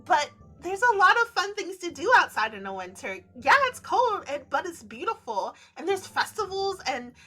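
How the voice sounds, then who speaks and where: A woman's voice: extremely high pitch, sing-song, moderate pace, few pauses, sharp tone, full character voice. A woman voicing an eager, apologetic young heroine in a romance game, chatty and earnest.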